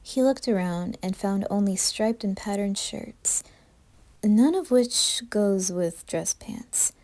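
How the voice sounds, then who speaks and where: A woman's voice: medium pitch, animated, slow, darkish tone. A woman reading scripted horror narration as a storyteller.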